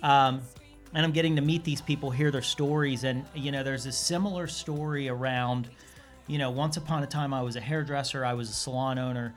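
A man's voice: mid-range voice, fairly even intonation, a little fast, faint music behind. A man hosting a podcast, introducing a guest in a rambling, enthusiastic way full of fillers. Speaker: podcast host.

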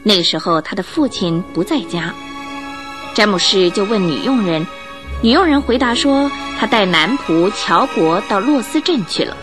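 Female radio-drama narrator, mid-pitched and very animated, measured storytelling with music underneath.

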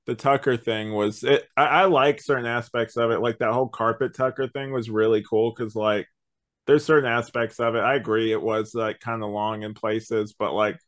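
A man's voice: mid-range voice, fairly even intonation, moderate pace, a bit bright. A man co-hosting a podcast, giving rambling, slangy opinions in a casual review chat. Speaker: podcast co-host.

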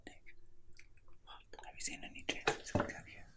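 Breathy whisperer in improvised role play. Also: moderate pace.

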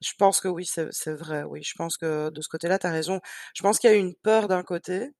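A woman's voice: fairly low pitch, steady tone, brisk, a little mellow, no room sound. A woman co-hosts a casual podcast, her voice nasal and slightly cracked from a cold. She talks hesitantly, with lots of fillers and restarts. The sound is close and dry, with no room behind it. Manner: hesitant, many fillers and restarts, laughs easily. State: congested from a cold, nasal and a bit hoarse. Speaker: podcast co-host.

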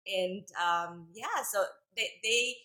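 A woman's voice: mid-range voice, takes it slowly, pushed and bright. A woman from Costa Rica, a native Spanish speaker with a Central American accent, telling her story conversationally as a podcast guest. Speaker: podcast guest, Zumba instructor.

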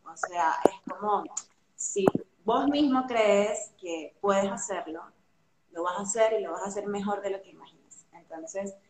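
A young woman with a Venezuelan accent talks freely and animatedly, stringing thoughts together with lots of fillers. Her voice sits at an ordinary middle pitch. The sound carries the echo of a live room. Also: a little slow, background noise. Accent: Venezuelan Spanish. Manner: chatty and animated, many fillers, laughs easily. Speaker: guest artist. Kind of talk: casual interview chat. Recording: roomy echo.

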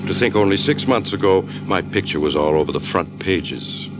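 An actor playing a sardonic, self-satisfied American gangster in an old radio crime drama. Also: male voice, low voice, animated, moderate pace, background noise. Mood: smug, sardonic. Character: smug male gangster, back from supposed death. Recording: dull old radio recording.

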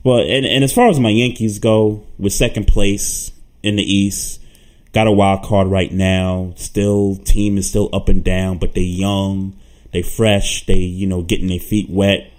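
Deep-voiced relaxed sports podcaster. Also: male voice, a little slow. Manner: relaxed, stammers and repeats words. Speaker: podcast co-host. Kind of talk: casual sports talk.

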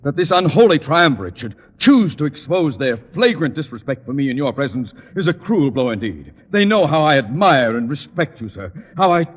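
An actor playing a pompous, blustering middle-aged school principal who fawns and stammers before superiors in an old radio comedy. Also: male voice, on the high side, very animated, a little fast, few pauses, slightly rough. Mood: wounded, fawning. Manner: pompous, florid, stammering under pressure. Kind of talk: 1940s-50s radio comedy acting. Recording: muffled, noisy old radio broadcast, hall reverb.

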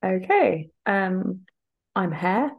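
A woman's voice: medium pitch, sing-song, very slow, muffled recording. A woman in her thirties from England, a podcast guest telling rambling, self-deprecating stories. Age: 30s. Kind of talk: casual interview chat.

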